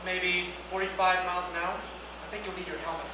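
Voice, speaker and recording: A man's voice: high voice, little pitch movement, fairly quick, natural voice. A man acting an easygoing, techy young grandson in a comedy screenplay reading. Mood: helpful, casual. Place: indoor reading before an audience, far mic. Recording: very echoey room, distant mic, noisy.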